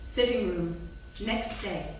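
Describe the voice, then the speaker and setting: A woman's voice: mid-range voice, slow. A woman reading out stage directions as scripted narration. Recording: echoey theatre from far off, clear noise.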